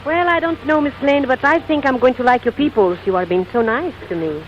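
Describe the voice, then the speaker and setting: An actress playing a spirited, cheeky Brazilian secretary with a Brazilian accent and exclamatory broken English, in 1940s radio comedy style. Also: female voice, sits high, brisk, slight background noise, lightly acted. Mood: mock innocent. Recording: muffled vintage 1940s radio broadcast.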